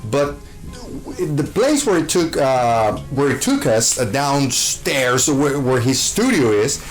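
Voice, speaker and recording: A man's voice: mid-range voice, very animated, slow pace, soft background music, low-quality recording. A man from Costa Rica, a show host, answering interview questions with gushing enthusiasm, full of fillers and restarts.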